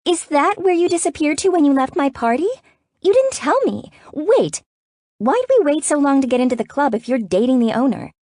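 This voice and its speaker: Woman acting an excitable, high-pitched bubbly sister. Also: fairly quick, no room sound, studio quality. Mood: excited, insistent. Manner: shrieking, exclamatory. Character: excitable sister on phone, bubbly, blunt, easily shocked.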